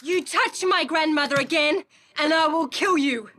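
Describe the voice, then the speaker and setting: An actress playing a fierce, protective young granddaughter in a science fiction television drama. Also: female voice, high voice, moderate pace, pushed and bright, dry recording. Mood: threatening. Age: young adult.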